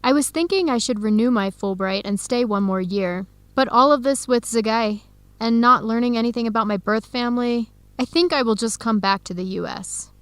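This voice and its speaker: Actress as earnest heartbroken young american woman, radio drama, highish voice, dry clean sound. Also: moderate pace, no room sound, close to an everyday voice. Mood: disappointed, reflective. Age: young adult. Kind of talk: scripted radio drama dialogue.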